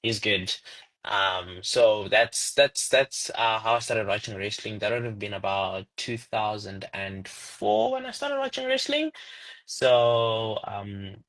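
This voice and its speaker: A man in his twenties from Zimbabwe, a guest telling stories in a casual interview chat over a video call, with big lively pitch jumps. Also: takes it slowly, low-quality recording. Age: 20s.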